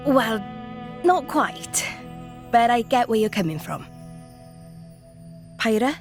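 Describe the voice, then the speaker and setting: A woman's voice: a little high, sing-song, slow pace, over music, exaggerated voice. A woman voicing a feisty young female game character, blunt and colloquial in the way she talks. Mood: frank, probing. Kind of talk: video game character acting.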